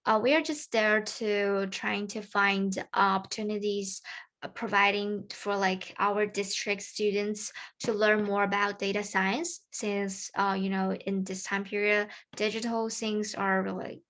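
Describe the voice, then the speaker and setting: A woman's voice: mid-range voice, flat delivery, a bit bright. Teen girl with a Mandarin Chinese accent. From China. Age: teens. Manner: casual, halting, many fillers and restarts. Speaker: student presenter. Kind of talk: recorded presentation to peers.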